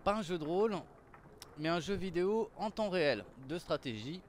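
A man's voice: high-pitched, expressive, normal speed, a little hiss or hum. A middle-aged man casually presenting a video game, conversational, repeating words and phrases for emphasis. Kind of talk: casual game showcase commentary. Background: music.

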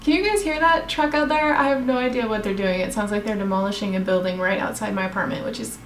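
Chatty young woman with a slightly raspy voice. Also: a little high, reverberant, slight background noise. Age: young adult. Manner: chatty, self-deprecating, talks while applying makeup. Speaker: makeup vlogger. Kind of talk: casual makeup tutorial. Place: small room in her apartment. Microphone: camera close in front of face.

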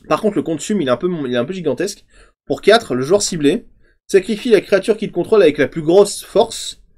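Guy streaming from home, rambling card review, voice sits a bit high, trails off quiet a lot. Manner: chatty, thinking aloud, often trailing off quietly. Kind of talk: casual game commentary. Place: home streaming room, indoors.

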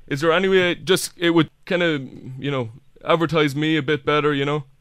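A man acts out a hapless Irish semi-pro photographer making a sales pitch in a prank call. His voice is slightly high and very clear, with a dry, close sound. He rambles earnestly and trips over his own sentences. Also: steady tone, fairly quick, fairly bright tone, no echo, natural voice. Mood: earnest, pleading. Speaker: prank caller.

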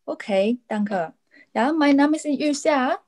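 A woman's voice: sits high, expressive, takes it slowly, darkish tone. A woman in her thirties from China, a percussionist, cheerfully introducing herself in simple phrases with a Chinese accent on a video call. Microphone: laptop webcam on video call.

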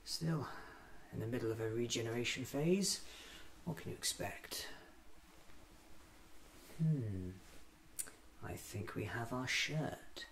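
Man acting as a quirky alien time traveller, ASMR roleplay, extremely slow deliberate speech, swooping intonation, soft musing to himself. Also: mid-range voice, lively intonation, a little hiss or hum, low-quality recording. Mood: thoughtful. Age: 30s. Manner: slow, deliberate, hesitant self-musing in short phrases.

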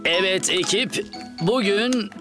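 A man's voice: high-pitched, takes it slowly. An actor playing a busy, affectionate father of young twins, patiently instructing them in a dubbed children's drama. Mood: upbeat, instructing. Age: 30s. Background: music.

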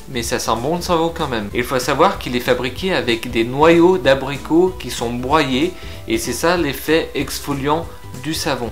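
Chatty young man reviewing beard products. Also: mid-range voice. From France. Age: young adult. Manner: lively, frank, chatty with filler pauses. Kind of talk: casual product review to camera. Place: indoor room with shelves of figurines.

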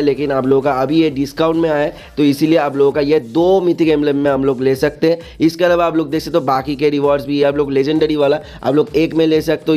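A man's voice: fairly high pitch, mellow. A man hosting a gaming video in a casual explainer style, repeating himself with frequent check-in tags and mixing in English words. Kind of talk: casual explainer narration over game screens.

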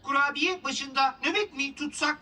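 A woman voicing a gentle, curious little companion in a children's cartoon, with a simple way of talking. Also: high voice, moderate pace. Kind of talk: children's cartoon dub acting. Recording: music under the voice.

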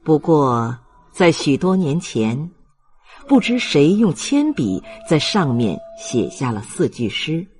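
A man reading a literary audiobook as narrator in a solemn, measured and expressive manner. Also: on the high side, breathy edge, faint music behind.

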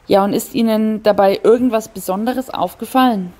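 A woman's voice: medium pitch, normal speed, darkish tone, lightly acted. A woman playing a composed, wry homicide detective in an audio crime drama, matter-of-fact, polite and probing. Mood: businesslike.

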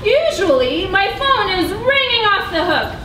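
A woman voicing a cheerful office worker puppet in an amateur show, with theatrical, drawn-out exclamations. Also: very high-pitched, very animated, pushed and bright, full character voice. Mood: chatty. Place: puppet stage in an auditorium, recorded from audience. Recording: echoey hall, distant camera, audience noise.

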